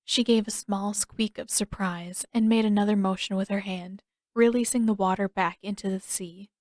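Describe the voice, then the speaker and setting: A woman's voice: medium pitch, steady tone, normal speed, darkish tone, dry recording, clean studio sound. A woman reading scripted fiction as an audiobook narrator.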